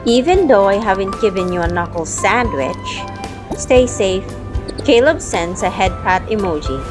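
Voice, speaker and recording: A woman's voice: medium pitch, lively intonation, measured pace. A woman narrating a first-person audio drama, acting out her own lines of dialogue within the story. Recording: background music under the voice. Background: music.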